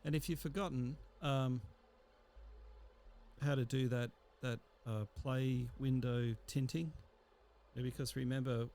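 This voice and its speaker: A man narrating a software tutorial in a casual, explanatory and somewhat hesitant way. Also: medium pitch, creaky.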